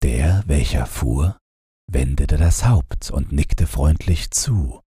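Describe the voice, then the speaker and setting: Very deep, husky male storyteller. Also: no echo, studio quality. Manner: calm, measured, gentle storytelling. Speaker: audiobook narrator. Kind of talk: bedtime fairy-tale narration, read from script.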